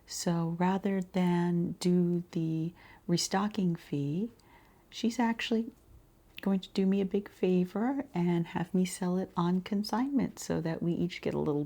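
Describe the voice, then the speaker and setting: A woman doing a quiet ASMR roleplay as a shopkeeper, speaking softly and breathily in an unhurried way, often slipping into a whisper. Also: fairly low pitch. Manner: unhurried, gentle pauses, murmured acknowledgements.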